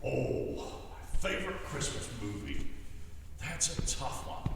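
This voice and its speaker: A man playing a jolly, doting old Santa Claus for children, chatting in a hesitant, grandfatherly way with an excitable voice. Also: medium pitch, takes it slowly, creaky, far from the mic, heavily acted. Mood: musing, chatty. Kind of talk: children's story-time performance. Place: indoors on a video call. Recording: echoey roomy video call, faint noise.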